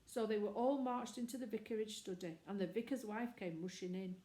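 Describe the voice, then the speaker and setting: Mellow-voiced woman reading aloud in echoey room. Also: mid-range voice, brisk. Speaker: storybook reader. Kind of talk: scripted narration of a children's novel.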